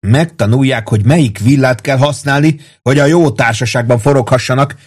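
A man's voice: mid-range voice, pitched above the performer's own voice, natural voice. A man voicing a vain, impatient and furious army sergeant in an audiobook, ranting in thundering tirades. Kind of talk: dramatized audiobook dialogue.

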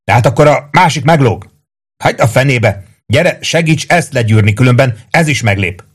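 A man's voice: mid-range voice, animated, slightly rough, voice pushed up. Audiobook military policeman: male narrator as big, coarse, exasperated man barking at his partner.

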